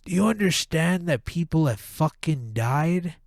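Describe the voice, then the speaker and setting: A man's voice: on the high side, animated, a little slow, vocal fry, no echo, studio quality. A man with a stuffed-up nose, hosting a podcast and ranting with heavy profanity in a casual monologue. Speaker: podcast host.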